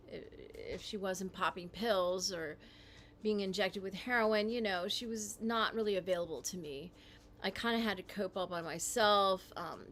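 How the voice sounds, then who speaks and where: Woman, rambling, halting restarts, mid-pitched, home livestream, faint room hum behind. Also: a bit bright. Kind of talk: casual livestream monologue.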